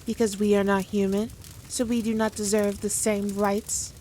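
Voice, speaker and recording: A woman playing a powerful demon queen in an ASMR roleplay, condescending, teasing and theatrical, with deliberate, drawn-out phrasing. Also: medium pitch, little pitch movement, dark tone, a little hiss or hum, natural voice. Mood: sardonic, haughty. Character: powerful demon queen, haughty, teasing, dominant, toying with her foe. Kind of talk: scripted ASMR roleplay addressed to the listener.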